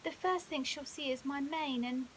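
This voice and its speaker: A woman's voice: high-pitched, moderate pace, close to an everyday voice. A woman voicing an insecure, lovestruck young male lion in a storybook, hesitant and informal. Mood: shy, worried. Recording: hissy background noise.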